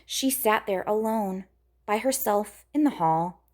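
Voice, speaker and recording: A woman's voice: medium pitch, unhurried, darkish tone. A woman storyteller reading a rhyming picture book aloud to children in a dramatic, emphatic way.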